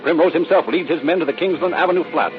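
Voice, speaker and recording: A man's voice: a little high, fast. A man narrating in a dramatic, scripted 1930s radio announcer style. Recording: dull old radio broadcast. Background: music.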